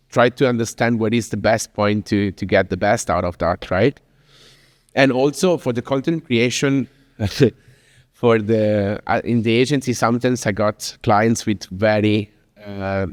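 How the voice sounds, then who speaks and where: An Italian man with a middle-pitched voice speaks to an audience in a casual, rambling way, restarting his sentences often. The sound is dry up close, with a long reverb tail from a hall. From Italy. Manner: chatty, with frequent fillers and restarts. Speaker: speaker at a business talk. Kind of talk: informal presentation to an audience. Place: venue in Lisbon.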